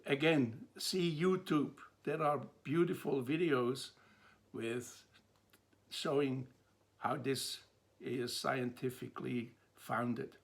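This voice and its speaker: An older man from Switzerland with a Swiss accent, giving a measured talk to camera and pausing between phrases. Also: medium pitch, fairly even intonation, gravelly. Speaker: theologian presenting a talk. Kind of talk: slow, deliberate lecture to camera.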